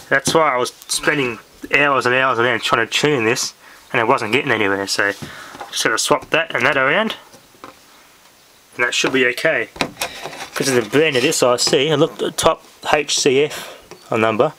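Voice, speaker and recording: A man with a mid-pitched voice talks through a hobby electronics demonstration in a casual, halting way, as if working it out aloud. The sound is a homemade handheld camera recording, with clear noise and clicks behind him. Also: bright, forceful tone. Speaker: hobbyist presenter. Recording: noisy amateur handheld camera audio with clicks.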